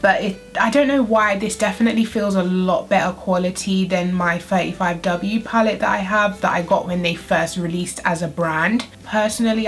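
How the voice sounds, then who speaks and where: A woman's voice: medium pitch, a bit bright. Chatty, congested woman doing makeup. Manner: chatty, uses "like" often. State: congested, blocked nose. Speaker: beauty vlogger. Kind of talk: makeup tutorial chat.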